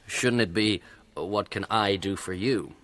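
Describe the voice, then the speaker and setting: An actor playing a brash, wealthy investor in a radio drama, with a blunt, informal manner. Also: male voice, on the low side, unhurried, a bit bright. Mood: probing. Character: brash, wealthy male investor, awkwardly flirtatious deal-maker.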